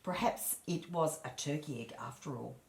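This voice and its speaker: A woman's voice: low-pitched, moderate pace, gravelly, a little mellow, echoey room. A woman voicing a motherly duck, doubtful yet proud and protective, in a storybook reading. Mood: dismayed.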